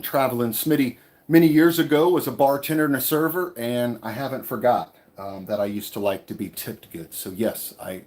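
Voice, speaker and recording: A man from California with a slight Texas twang, chatting casually on a livestream, reading viewer questions aloud and answering with lots of fillers. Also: mid-range voice. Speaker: travel vlogger. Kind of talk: casual live Q&A. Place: inside a small tiny house. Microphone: camera held for a livestream.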